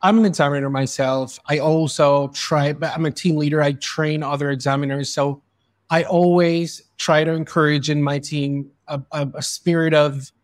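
Podcast host guy, slightly high voice, dry close sound, earnest and chatty. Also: fairly even intonation, moderate pace, no room sound. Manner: earnest, fluent with frequent restarts. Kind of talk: conversational interview. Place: in Spain.

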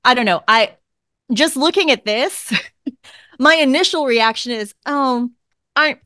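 A woman co-hosts a casual podcast with a wry, self-mocking manner and frequent laughter. Her voice sits on the higher side and sounds dry and close to the mic, as if recorded in her home office. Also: measured pace, pushed and bright, dry recording. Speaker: podcast co-host. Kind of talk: casual chat.

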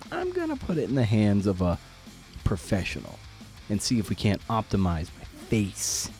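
Male podcast host, casual solo intro. Irreverent and slangy, dark relaxed tone, very dry close-mic sound. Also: sits low, slightly rough, no room sound. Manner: slangy, irreverent, self-deprecating.

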